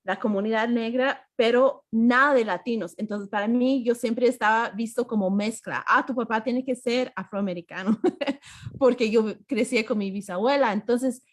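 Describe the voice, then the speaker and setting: A woman's voice: a little high, moderate pace. Encouraging US-raised woman host. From United States. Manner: warm, encouraging, mixes Spanish with English. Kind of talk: casual conversation.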